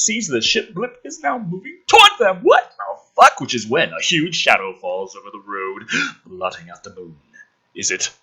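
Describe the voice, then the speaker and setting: A man's voice: medium pitch, sharp tone, slightly rough, dull audio. A man dramatically reading a script aloud as host, with sarcastic, sweary asides thrown in.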